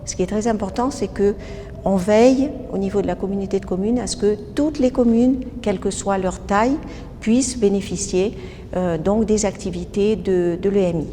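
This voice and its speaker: A woman giving explanations in an interview, halting and restarting her phrases as she goes. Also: warm tone. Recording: reverberant hall, faint rumbling noise.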